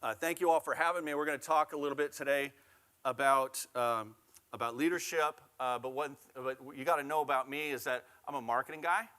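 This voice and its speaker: A man from Oregon opening a keynote talk in an event hall, energetic, drawing the audience in. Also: mid-range voice, fairly quick, smooth. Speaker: guest keynote speaker.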